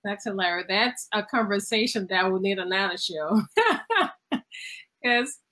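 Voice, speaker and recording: A woman's voice: medium pitch, expressive, a little slow, bright, forceful tone. A middle-aged woman from Cameroon, a guest in a studio interview, speaking earnestly and motivationally and sometimes repeating words while she searches for them. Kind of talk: conversational interview answer. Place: television studio.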